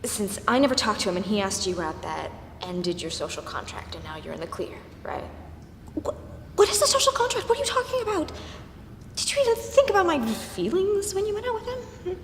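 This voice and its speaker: A woman's voice: a little high, big pitch swings, takes it slowly, pauses often. An actress playing an angry, jealous woman in a comic film monologue, whispering furiously into a phone with indignant outbursts. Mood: indignant, incredulous. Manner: hushed, indignant, swooping into outbursts. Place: large museum gallery, indoors. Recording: echoey reverberant hall, some noise.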